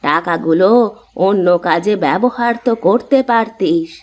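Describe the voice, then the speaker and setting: A woman voicing a gentle, pious elderly village mother in a cartoon, speaking tenderly. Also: fairly low pitch, measured pace, highs cut off. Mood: tender, gently protesting. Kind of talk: cartoon voice acting.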